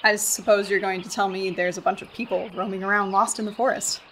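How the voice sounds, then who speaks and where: A woman's voice: medium pitch, steady tone, quick pace, fairly bright tone, slight background noise, lightly acted, rough recording. A woman playing a sarcastic, skeptical young tourist in a radio play. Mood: chatty, doubtful. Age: young adult. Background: birdsong, woodland ambience.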